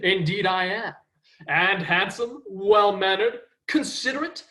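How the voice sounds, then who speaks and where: A man's voice: sits high, measured pace, sharp tone. A man playing a vain, dashing hero prince who boasts in a pompous way. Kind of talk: stage play acting.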